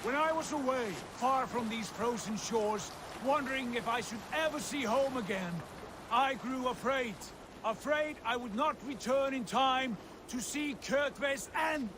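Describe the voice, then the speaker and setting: An actor playing a proud, bold Viking prince in a video game, with a grand, declamatory delivery. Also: male voice, very high-pitched, measured pace. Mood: rousing. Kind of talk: video game cutscene acting. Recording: clear water and outdoor ambience noise.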